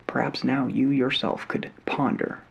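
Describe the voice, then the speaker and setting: A man voicing an earnest 1950s television presenter, speaking in soft, mostly unvoiced whispers. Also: medium pitch, unhurried, gravelly, distant mic, muffled recording. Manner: mostly unvoiced whispering, old-fashioned host patter. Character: earnest male 1950s TV presenter, folksy and sure. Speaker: ASMR creator playing a 1950s TV host. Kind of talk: soft-spoken ASMR roleplay of a 1950s talk show.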